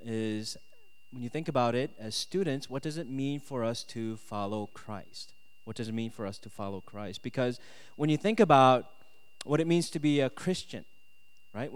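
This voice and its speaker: A man's voice: mid-range voice, clean tone. A man from South Korea who moved to the US as a child, preaching to students in a conversational sermon style, often checking in with his listeners. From South Korea, moved to the US at ten. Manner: conversational, punctuated with "right?" and "okay?". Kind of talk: sermon with scripture reading and personal testimony. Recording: echoey hall with long reverb.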